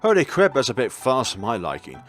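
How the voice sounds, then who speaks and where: A man's voice: medium pitch, wildly swooping pitch, fairly quick, fairly bright tone, soft background music. A man narrating a visual novel as a gaming host, in a casual let's-play style with asides of his own.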